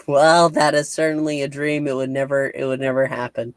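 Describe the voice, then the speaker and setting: A man hosting a casual review chat, rambling and halting as he corrects himself. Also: mid-range voice, little pitch movement, clean tone, no echo. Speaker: host.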